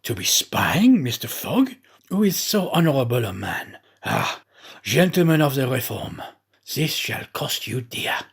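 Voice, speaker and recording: A man's voice: mid-range voice, sing-song, measured pace, vocal fry, slightly rough, heavily acted. A man voicing a loyal, proud servant who muses aloud to himself in an audiobook story. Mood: indignant. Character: loyal male servant, shrewdly proud and indignant, musing aloud.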